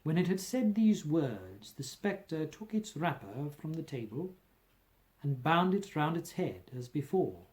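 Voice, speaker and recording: A man reading a classic story aloud as an amateur narrator, with expressive scripted delivery. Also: a little high, unhurried. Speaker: amateur audiobook reader.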